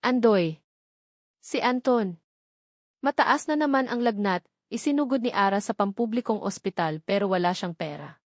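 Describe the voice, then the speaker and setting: A woman voicing a worried man phoning with urgent bad news in an audio drama, anxious and hurried. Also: medium pitch, animated, no room sound, clean studio sound, close to an everyday voice.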